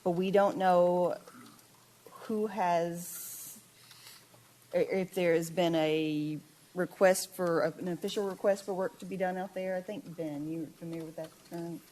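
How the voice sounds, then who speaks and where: A woman presenting a list of projects to a committee in a measured, explanatory way. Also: lowish voice, fairly even intonation, mellow. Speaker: staff presenter. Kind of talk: meeting presentation.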